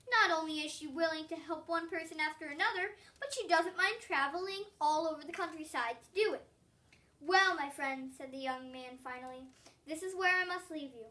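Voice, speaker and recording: A young child with a high, bright voice reads a story aloud. The delivery is expressive, leaning on certain words and drawing them out. The voice sounds a little way off from the microphone. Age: child, about 8. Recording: distant from the microphone.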